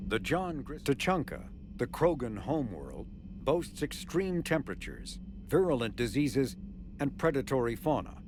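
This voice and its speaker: A man's voice: mid-range voice, very animated, normal speed, noisy background, natural voice. A man playing a formal encyclopedia narrator, reading scripted lore for a science-fiction game. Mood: formal, informative.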